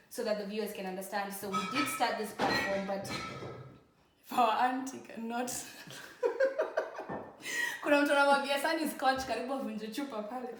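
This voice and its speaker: Kenyan woman, podcast co-host, playful and chatty, code-switching, higher pitched, roomy sound. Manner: playful, animated storytelling and teasing. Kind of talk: casual bilingual chat with code-switching. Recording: echoey room, faint background noise.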